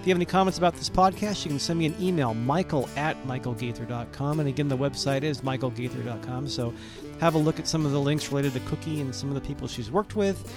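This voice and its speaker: Male podcast host, middle pitch, casual outro, acoustic guitar playing clearly behind. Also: fast talker, few pauses. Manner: friendly sign-off, relaxed run of plugs. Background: guitar music.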